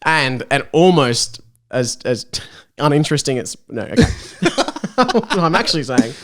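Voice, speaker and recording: A man's voice: medium pitch, very animated, measured pace. A man hosting a podcast, chatting casually and reading aloud from a story in a self-mocking way, often breaking into laughter. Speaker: podcast host. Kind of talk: casual comedy chat, reading aloud his own old fan fiction.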